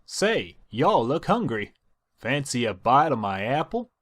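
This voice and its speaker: A man's voice: mid-range voice, big pitch swings, unhurried, fairly bright tone. A man voicing a hard-working young female farm pony with a folksy Southern American drawl, bossy and familiar. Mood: coaxing.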